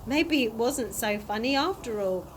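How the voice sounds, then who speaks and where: A woman's voice: a little high, lively intonation, a little slow. A woman reading the part of a cheerful, vain male tramp in a storybook, with polite, old-fashioned manners. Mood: pleased, chatty. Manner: polite, old-fashioned, grandly self-pleased. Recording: audible background noise.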